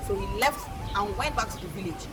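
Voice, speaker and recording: A woman's voice: mid-range voice, lively intonation, fairly quick, loud music behind the voice. A woman with a Nigerian accent who tells folktales to children as an educator, repeating points and asking questions in a teacherly way.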